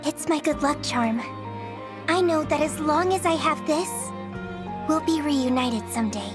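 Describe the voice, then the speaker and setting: A woman's voice: high voice, unhurried, over music. A woman voicing a wistful, sincere young wandering girl in a video game cutscene. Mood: soft, hopeful. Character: soft-hearted, wistful mysterious wandering girl.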